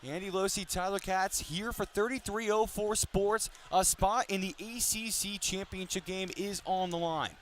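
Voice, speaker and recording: High-pitched young male basketball play-by-play announcer. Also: fairly even intonation, normal speed, hardly any pauses, a bit bright. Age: 20s. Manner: detailed, polished broadcaster delivery. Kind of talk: live radio basketball play-by-play. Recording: live sports broadcast with arena noise. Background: basketball arena ambience.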